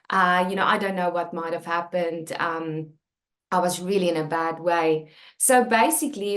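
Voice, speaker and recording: A woman's voice: mid-range voice, a bit bright, reverberant. A woman from South Africa, a native Afrikaans speaker with a South African accent, talking candidly and earnestly about her writing, with many fillers and restarts. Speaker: author and channel host. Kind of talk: personal talk about her book.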